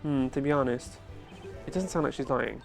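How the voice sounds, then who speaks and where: A man's voice: medium pitch, moderate pace, creaky. A man fan-dubbing a game, switching between acted lines and confused asides. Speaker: fan voice actor and player. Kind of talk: fan dub with live commentary. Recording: faint game music behind. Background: game music.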